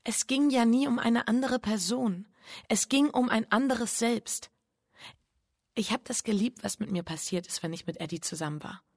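An actress playing an anguished, guilt-ridden bourgeois wife in a radio drama, speaking with breathy, introspective intensity. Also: female voice, fairly high pitch, dry recording, studio quality. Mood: agitated, confessing. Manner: intense, introspective, pleading, halting repetitions. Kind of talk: German radio drama, intimate monologue and confession.

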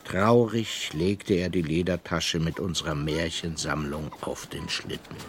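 An actor playing a wistful older brother who narrates a fairy-tale adventure in a children's radio play, measured and expressive. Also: male voice, deep voice, a little hiss or hum. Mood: grave. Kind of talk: scripted first-person storytelling in children's radio play.

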